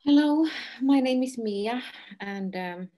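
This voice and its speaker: A woman, a nurse and trainer, introducing herself gently on a video call. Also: a little high, animated, takes it slowly. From Finland.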